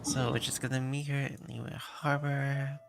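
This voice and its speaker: A man chatting casually as a game livestreamer, halting and rambling with hesitant phrasing. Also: mid-range voice, little pitch movement, creaky, background noise. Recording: game music behind the voice.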